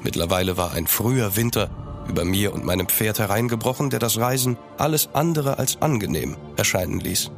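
A low male voice narrating as a gentleman traveller in a gothic radio play. The storytelling is measured and literary, laid over clearly audible music. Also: animated. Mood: grave, reflective. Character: gentleman traveller recounting his own eerie journey. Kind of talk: scripted first-person audio drama narration.

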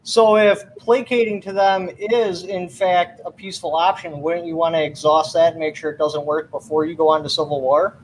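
A man's voice: fairly high pitch, little pitch movement, moderate pace, few pauses, a little hiss or hum, rough recording. A man in his thirties hosting a livestream debate over a webcam call, pressing his guest with pointed questions. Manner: probing, keeps asking pointed questions. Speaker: livestream host. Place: small room at home.

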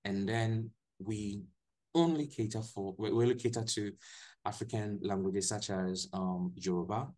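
A young man with a Ghanaian accent, a guest telling his story in a relaxed way on a video call from a small room, often restarting his sentences. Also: fairly low pitch, normal speed. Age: young adult. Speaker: guest, English teacher. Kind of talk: casual video-call interview.

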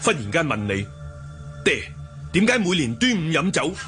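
An actor playing a loyal, blunt martial-arts lieutenant in a Hong Kong Cantonese radio drama, recounting things emphatically. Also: male voice, on the high side, very animated, moderate pace, pushed and bright, soft background music. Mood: explaining.

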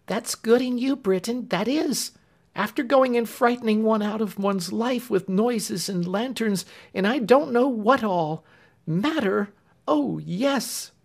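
A man's voice: takes it slowly, creaky, full character voice. A man doing a flustered homely servant woman. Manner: high, fluttering, earnest.

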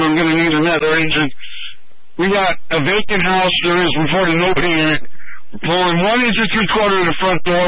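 A man's voice: a little high, lo-fi sound. A man giving terse, commanding fireground orders as a firefighter over a two-way radio. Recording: noisy band-limited fire radio scanner feed.

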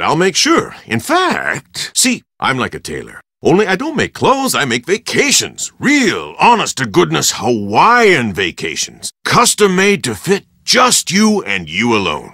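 An actor playing a boisterous Hawaiian tour operator in a video game, with hearty, pushy sales patter in short punchy phrases. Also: male voice, fairly high pitch, vocal fry, sharp tone, dry recording, clean studio sound, exaggerated voice. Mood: hearty, selling. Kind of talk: video game character dialogue.

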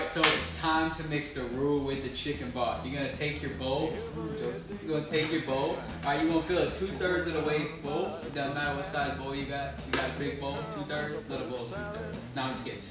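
A man's voice: a little high, brisk. A man in his twenties giving a folksy, joking cooking demonstration, repeating his instructions. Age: 20s. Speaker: home cook demonstrating a step. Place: home kitchen, indoors. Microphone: camera across the counter. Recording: very echoey kitchen, distant mic, music behind.

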